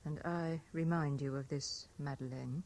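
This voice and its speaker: A woman's voice: deep voice, steady tone, a little slow, darkish tone. An actress playing a weary, coolly sceptical young Frenchwoman, polite and reserved, in 1970s radio drama style. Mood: sceptical, resigned.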